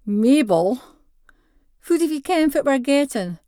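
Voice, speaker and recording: A woman's voice: sits high, lively intonation, unhurried, mellow, no echo, studio quality, pitched above the performer's own voice. Woman reading as a sulky Scottish teenage boy, indignant. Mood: incredulous. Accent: North-East Scottish (Doric). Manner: indignant, incredulous.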